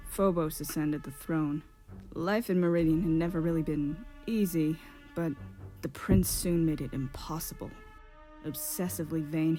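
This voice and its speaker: Casting: melancholy exiled painter played by a woman, low measured voice, wistful storyteller, instrumental music underneath. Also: mellow, lightly acted. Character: exiled male court painter, centuries old, melancholy and wistful. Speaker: voice actor in a fan dub. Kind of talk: dramatic storytelling monologue. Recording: music behind the voice.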